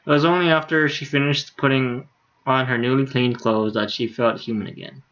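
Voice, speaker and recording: A man's voice: mid-range voice, steady tone, moderate pace, fairly bright tone, dull audio. A man reading a visual novel aloud on a livestream in a casual, unpolished way. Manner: casual, stumbles over words, swears in asides. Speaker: game streamer.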